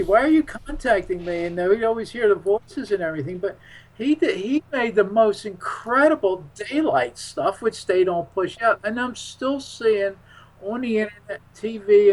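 Male interview guest, high voice, casual and rambling talk with emphatic turns. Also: lively intonation, normal speed, rough recording.

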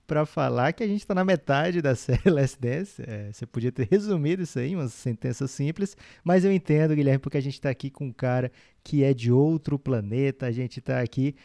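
A Brazilian man chats as co-host of a sports podcast, casual and joking. His tone is dark and mellow at a middling pitch, recorded dry with no room sound. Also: a little fast, gravelly, few pauses, no echo.

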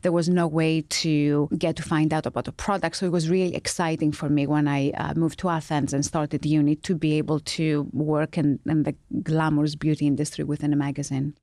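A woman's voice: deep voice, level tone, fairly quick, few pauses, warm tone, dry recording, studio quality. A middle-aged woman from Greece, telling her story as an interview guest, with many ums and restarts. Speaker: guest, founder of a beauty brand.

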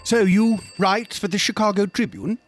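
A man's voice: high voice, moderate pace, soft background music, close to an everyday voice. An actor playing an affable, gossipy British newspaperman in a radio drama, polite and understated.

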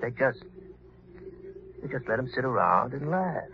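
An unhinged gloating gangster, swooping voice. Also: male voice, medium pitch, very animated, creaky. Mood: bitter, menacing. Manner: taunting, drawn-out gloating with maniacal laughter. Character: unhinged, vengeful gangster feigning death, gloating killer. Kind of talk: old radio crime drama acting. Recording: dull lo-fi old radio, background hiss.

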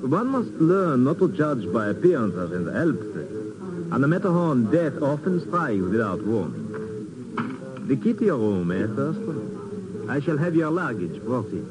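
An actor playing a courteous, ominous Alpine hotel host in a 1950s radio drama, speaking formally and with measured foreboding. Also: male voice, mid-range voice, sing-song, a loud crowd, low-quality recording.

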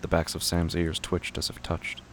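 A man narrating a scripted horror audio drama in short, deliberate, clipped fragments. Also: deep voice, completely flat delivery, a bit bright, slight background noise.

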